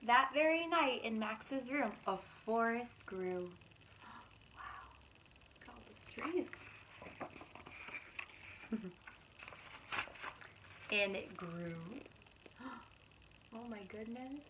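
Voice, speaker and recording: Unhurried woman reading picture books aloud. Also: a little high, noisy background. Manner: animated, exaggerated gasps and asides to listeners. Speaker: preschool teacher reading storytime. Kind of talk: expressive picture-book read-aloud for young children.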